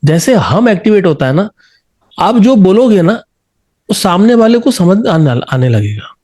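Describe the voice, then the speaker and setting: A man's voice: high-pitched, big pitch swings, unhurried, warm tone. A man with an Indian accent speaking as an encouraging singing coach in a conversational interview, mixing in English phrases.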